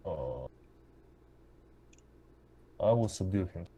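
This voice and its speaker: A man's voice: low voice, expressive, drawn-out words, warm tone, a little hiss or hum. A man casually playing a tabletop game, announcing his character's actions and speaking in character.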